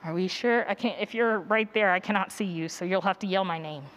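A woman's voice: medium pitch, fairly quick, a bit bright, dull audio. A woman teaching a workshop in a conversational, encouraging way and taking questions from people in a conference room. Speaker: workshop presenter. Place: conference session room with an audience.

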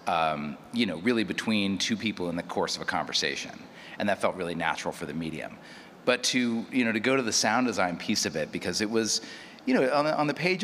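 A man with a very low voice talks thoughtfully as a producer on a panel. He feels his way through explanations, repeating small phrases. The hall rings with long reverb and there is clear background noise. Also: bright, forceful tone. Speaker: audio drama producer on a panel.

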